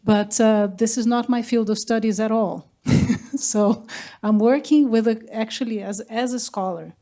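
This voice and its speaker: A woman's voice: a little high, steady tone, normal speed, dark tone. A woman from Brazil, a researcher and curator, speaking on a symposium panel in a conversational way. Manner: conversational, with fillers and restarts.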